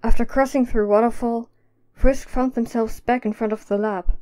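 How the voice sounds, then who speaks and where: A woman's voice: on the high side, steady tone, normal speed, mellow. A woman narrating a scripted audio drama as its storyteller.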